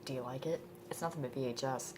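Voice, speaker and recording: Late-20s woman, stuffed up with a cold, low voice, lots of um, bit of faint hiss behind. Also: quick pace. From Michigan. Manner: rambling, hesitant, full of ums. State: congested from a cold. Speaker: vlogger. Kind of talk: casual introduction vlog. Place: indoors at home.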